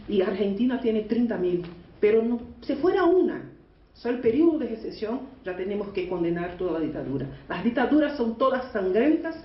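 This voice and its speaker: A Brazilian woman, a union delegate with Portuguese as her first language, gives an earnest conference speech in halting Spanish with a Portuguese accent. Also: on the high side, slight background noise. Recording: echoey, distant, muffled hall recording.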